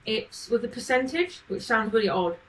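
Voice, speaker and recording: Woman, casual live-stream coder, slightly higher voice, rambling and friendly with chat. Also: animated, moderate pace, rough recording. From not from Manchester. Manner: chatty, thinks aloud, answers chat questions. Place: home, living in Manchester.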